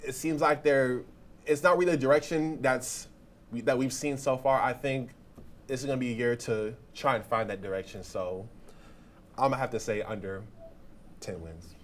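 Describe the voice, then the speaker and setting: Halting male sports panelist, faint noise. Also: mid-range voice. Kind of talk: casual sports discussion.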